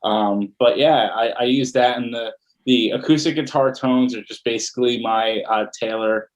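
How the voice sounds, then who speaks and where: A male musician guest in a casual interview, talking in a low-key, matter-of-fact way. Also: steady tone, moderate pace, dull audio.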